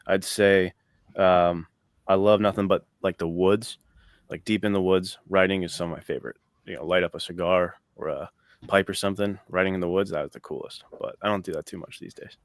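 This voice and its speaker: A man's voice: low voice, steady tone, a little fast, dry recording. A man musing informally in casual chat as a guest on a panel.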